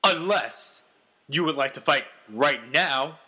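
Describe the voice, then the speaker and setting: Man playing a brash, bright-voiced boastful quarterback. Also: a little high, lively intonation, slow, husky, exaggerated voice. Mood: challenging. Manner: melodramatic, boastful. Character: brash, self-important ex-convict beast quarterback telling his story.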